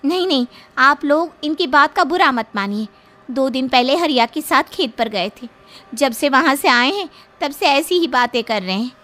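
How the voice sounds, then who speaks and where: Village mother for horror cartoon, female actor: high, sharp, anxious, pleading, protective, dramatic. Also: animated, moderate pace. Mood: apologetic worry. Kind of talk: Hindi horror cartoon story acting.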